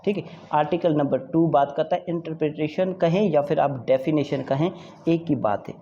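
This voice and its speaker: A man from Pakistan giving an exam prep law lecture. He repeats key points, mixes in English legal phrases and keeps asking if it is clear. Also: on the high side, normal speed, warm tone, highs cut off, slight background noise. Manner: repeats points and checks understanding with "is it clear, dear?".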